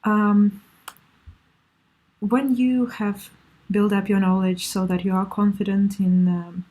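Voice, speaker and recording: A woman's voice: medium pitch, level tone, takes it slowly, warm tone, low-quality recording. A young woman with a Russian accent, giving language-learning advice in a hesitant, unscripted way with many fillers. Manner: hesitant, many ums and uhs, restarts. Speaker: language learner sharing tips.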